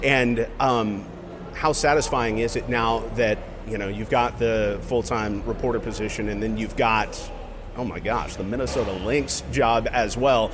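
A man's voice: fairly low pitch, steady tone, a little slow, background noise. A man hosting a sports interview in a conversational, enthusiastic and encouraging manner. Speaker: sports show host.

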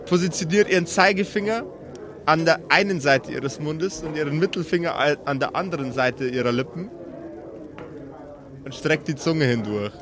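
A man narrating an improvised tabletop game as game master, in a theatrical, deliberate storytelling manner. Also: a little high, crowd noise.

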